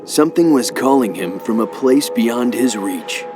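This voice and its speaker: A man as a solemn storyteller, giving measured, dramatic scripted narration for video game cutscenes. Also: medium pitch, animated, music in the background, close to an everyday voice. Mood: solemn, ominous. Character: solemn omniscient male storyteller of dark legends.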